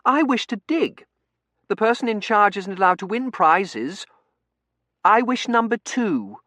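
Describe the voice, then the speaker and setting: A bossy little Victorian girl, acted by a man narrating a storybook. The pitch sits very high and leaps about. The delivery is whiny, insistent and sure of being right. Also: very animated, unhurried, a bit bright, no echo, full character voice. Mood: self-righteous. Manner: wailing, insistent, self-righteous. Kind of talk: audiobook character acting.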